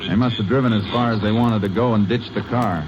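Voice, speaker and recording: An actor playing a steady, seasoned Texas Ranger in a 1950s radio drama, speaking tersely and with authority. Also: male voice, on the low side, fast, natural voice. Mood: businesslike. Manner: terse, authoritative, matter-of-fact. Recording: noisy, muffled 1950s radio recording.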